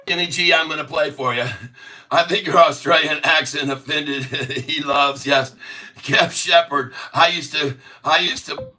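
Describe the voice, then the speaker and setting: Man, livestream host, jokey, laughs constantly, bright forceful voice, sits a bit far from the mic. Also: fairly high pitch, fairly even intonation, unhurried. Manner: jokey, laughs often, mock accents. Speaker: livestream host reading chat. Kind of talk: casual livestream chat.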